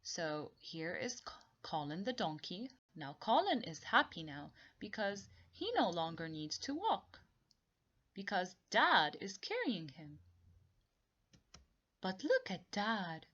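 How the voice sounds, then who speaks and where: Slow, very animated woman reading a children's storybook. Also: fairly low pitch, dull audio. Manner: expressive, deliberate, repeats and explains for young listeners. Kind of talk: read-along storytelling with simple explanations.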